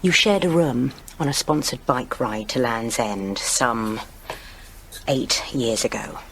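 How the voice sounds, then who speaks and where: A woman's voice: extremely low pitch, slow, a bit bright, exaggerated voice. Blunt female detective played by a woman, noisy background. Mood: pointed, controlled. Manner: blunt, accusatory questioning. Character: blunt, probing British police detective interrogator. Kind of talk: audio drama acting.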